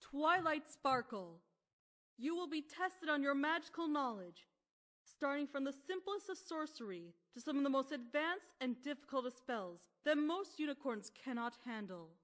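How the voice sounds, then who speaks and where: Woman as a high-voiced, formal pony princess. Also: expressive, a bit bright. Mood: formal, solemn. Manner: formal, measured, authoritative. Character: regal, formal pony princess overseeing an exam. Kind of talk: audio fan-fiction acting.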